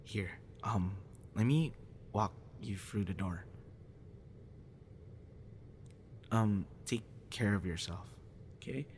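A man playing a withdrawn, tender young man in an intimate boyfriend roleplay, speaking softly and haltingly. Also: lowish voice, slight background noise, close to an everyday voice. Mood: hesitant, awkward. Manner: hesitant, halting, soft-spoken. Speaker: audio roleplay performer.

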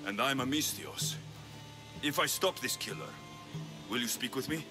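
A man's voice: medium pitch, moderate pace, close to an everyday voice. An actor playing a young, determined and curt male Greek mercenary adventurer in a video game. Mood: determined, probing. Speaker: playable protagonist. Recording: music under the voice. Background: background music.

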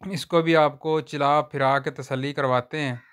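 A man walks viewers through cattle for sale on a farm, talking in plain, unhurried sales patter and filming on a handheld phone outdoors. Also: on the high side, fairly even intonation, fairly bright tone, clean tone. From Pakistan. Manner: repeats a stock religious phrase often. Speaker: livestock seller vlogger. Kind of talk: casual sales walkthrough.

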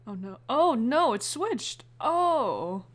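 Expressive woman gamer, swooping pitch. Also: slow pace, background noise. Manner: expressive, exclaiming. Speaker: gamer, let's play host. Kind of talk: casual playthrough commentary, reacting and reading game text.

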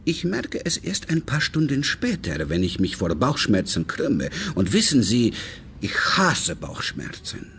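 An actor playing a suave, fatherly and controlling businessman in a radio crime drama, speaking deliberately and courteously with veiled menace. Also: medium pitch, wildly swooping pitch, vocal fry, fairly bright tone, a little hiss or hum, heavily acted. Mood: quietly menacing.

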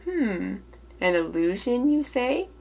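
A woman voicing an earnest, flustered young male lawyer in an amateur puppet show, hesitantly thinking out loud. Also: fairly high pitch, sing-song, slow, vocal fry, husky, exaggerated voice. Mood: thoughtful. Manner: hesitant, puzzling things out aloud.

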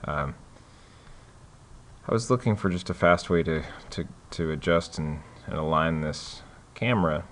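Man with a very low voice, casual tutorial host, loose and halting delivery, light hiss behind. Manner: relaxed, many fillers and restarts. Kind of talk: casual screen-recorded tutorial.

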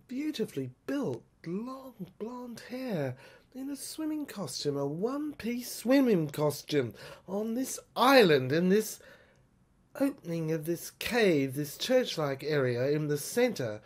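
A woman narrating a first-person audio story dramatically, halting and repeating phrases for effect. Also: mid-range voice, very animated, darkish tone.

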